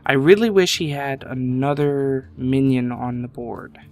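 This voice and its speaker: A man's voice: mid-range voice, soft background music. Congested man thinking aloud over gameplay. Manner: thinks aloud, relaxed and unhurried. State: congested, getting over a sinus infection. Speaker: game commentator. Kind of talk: casual gameplay commentary.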